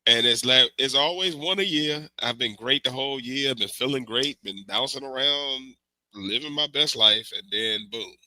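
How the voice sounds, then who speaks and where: A man with a cold hosts a podcast in loose, animated, slangy chat. His tone is strikingly bright and cutting. The sound is close and dry, with no room around it. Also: mid-range voice, normal speed, dry recording, poor audio. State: has a cold. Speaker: podcast host. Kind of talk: casual chat. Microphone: remote stream mic.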